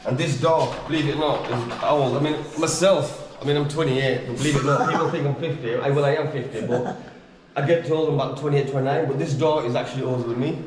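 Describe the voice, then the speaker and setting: A man's voice: medium pitch, fairly even intonation, fast, darkish tone, echoey room, rough recording. A man giving a joking, rambling tour of his family's old village house. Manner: joking, rambling, loose tour-guide talk. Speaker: vlogger. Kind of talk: casual chat, touring a village house.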